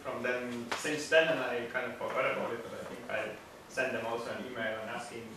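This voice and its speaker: A man's voice: mid-range voice, little pitch movement. Hesitant man, echoey and distant. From Finland. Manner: halting, many restarts. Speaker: workshop participant. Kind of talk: self-introduction, hesitant. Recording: echoey room from far off, noisy.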